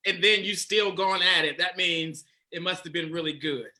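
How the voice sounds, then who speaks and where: A man's voice: sits high, moderate pace, sharp tone. A man hosting a casual dating chat on a video call, playful and laughing often. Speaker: dating coach host.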